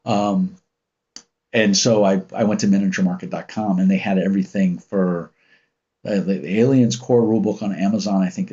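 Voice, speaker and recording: Deep commanding man, very low pitch, husky tone, rambling relaxed stream talk, muffled lo-fi audio. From United States. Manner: rambling, relaxed, with many ums and restarts. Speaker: channel host reading live chat. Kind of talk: casual livestream chat and storytelling.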